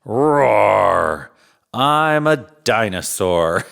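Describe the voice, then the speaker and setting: A man's voice: on the low side, big pitch swings, very slow, gravelly, exaggerated voice. A man voicing a stubborn, boastful little dinosaur who roars again and again in a children's picture book. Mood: defiant, boastful. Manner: roaring loudly and repeatedly.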